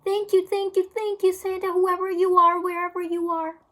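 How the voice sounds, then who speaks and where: A woman's voice: very high-pitched, flat delivery, normal speed, bright, forceful tone, voice pushed up. A woman voicing an eager, candid little boy in a children's storybook. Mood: thrilled. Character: excitable, candid little boy, younger brother.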